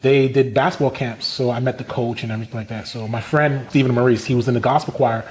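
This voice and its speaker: A man's voice: medium pitch, fast. Chatty Brooklyn man in his thirties. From Brooklyn, New York. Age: 30s. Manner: animated, chatty, laughs often. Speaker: musician and community organizer, interviewee. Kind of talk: casual interview storytelling.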